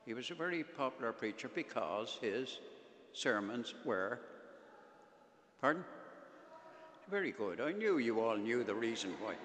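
A man's voice: mid-range voice, big pitch swings, a little slow, long pauses, a bit bright. A man, a priest, reading the gospel and giving a conversational homily, joking with the congregation. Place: large church. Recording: echoey church with long reverb.

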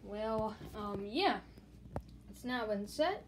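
Casual, halting woman vlogger, noisy home recording. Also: mid-range voice, expressive. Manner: informal, halting, repeats stock phrases. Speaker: host of a gadget collection video channel. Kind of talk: casual handheld show-and-tell vlog. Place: small room indoors. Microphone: handheld phone or camera, held close.

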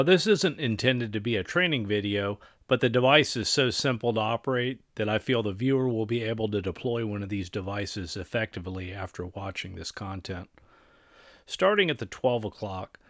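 Middle aged guy narrating tech gear stuff, lowish voice, formal and precise, dry close sound. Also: fairly bright tone, dry recording. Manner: measured, formal, precise wording. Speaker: presenter of a radio equipment channel. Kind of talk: scripted technical narration.

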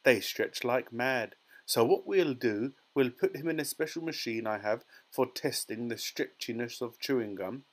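An eccentric male chocolate maker from a children's story, as a man reads him. He exclaims excitably, then turns airy and matter-of-fact, untroubled by any mishap. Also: medium pitch, moderate pace, hardly any pauses, natural voice. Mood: unbothered.

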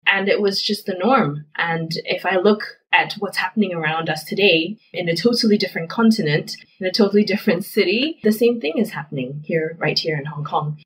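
Woman in her thirties, lower voice, earnest and reflective, sits back from the mic. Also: brisk, hardly any pauses, recorded from a distance, low-quality recording. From grew up in Ghana, West Africa. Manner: earnest, reflective, with frequent "you know". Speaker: executive director of a youth NGO. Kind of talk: interview answers.

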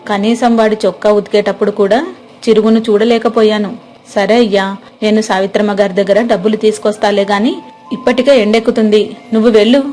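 Woman narrating a village moral story. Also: medium pitch. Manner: gentle, plain narration and homely dialogue.

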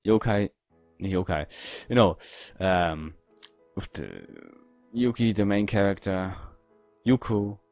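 A man giving casual game commentary in halting, non-native English phrasing. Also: fairly low pitch, fairly even intonation, darkish tone, no room sound, soft background music. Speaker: gaming video host.

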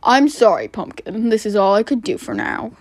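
Woman playing a menacing kidnapper dad, lively pitch. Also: normal speed, dry recording, clean studio sound. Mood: stern. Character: menacing male kidnapper father, cowardly when caught. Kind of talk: amateur toy-app roleplay voice acting.

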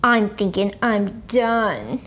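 A woman's voice: mid-range voice, big pitch swings, takes it slowly, fairly bright tone. A woman voicing an earnest, easily frustrated young schoolboy with a grouchy manner in a storybook read-aloud. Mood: fed up. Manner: grouchy, plain-spoken.

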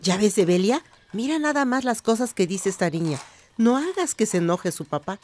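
A woman's voice: mid-range voice, very animated, normal speed, a little mellow, slight background noise, natural voice. A woman playing a cautious, fussing elderly grandmother in a village radio drama. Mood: scolding. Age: older.

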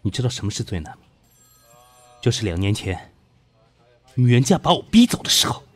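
An actor in an audio drama playing a proud, weary, sharp-tongued businessman, guarded with his lover, speaking in a curt, dry way. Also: mid-range voice, very animated, moderate pace. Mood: exasperated.

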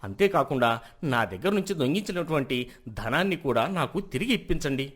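Man acting an indignant, high-pitched village merchant. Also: sing-song, a little fast, fairly bright tone. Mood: indignant, impatient. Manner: impatient, complaining, insistent. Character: indignant wealthy village merchant demanding punishment, hiding guilt. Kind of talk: dramatised moral story acting.

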